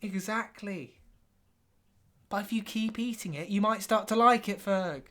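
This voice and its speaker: An earnest British podcast co-host, acted by a man, awkward and eager to placate. He talks hesitantly in deadpan comedy dialogue and comes across as easily hurt. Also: high voice, measured pace.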